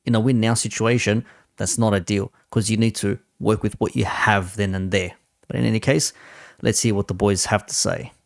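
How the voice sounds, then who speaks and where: A man's voice: on the low side, steady tone, normal speed, creaky, dry recording, clean studio sound. A man giving casual, opinionated commentary as a host, blunt and sweary in a conversational way. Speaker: host reacting to a football debate stream.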